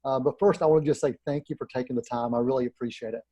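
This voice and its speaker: A man's voice: mid-range voice, fairly even intonation, racing, dark tone, no room sound. A man hosting a movie show, introducing and questioning his guest in a friendly, hesitant way with many "uh"s. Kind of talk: hosting an interview.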